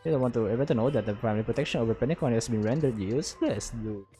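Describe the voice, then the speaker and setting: A man's voice: mid-range voice, very fast, mellow, soft background music. A man with a Filipino accent doing casual livestream commentary and reading game text aloud, informally mixing English and Tagalog. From Philippines. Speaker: game streamer.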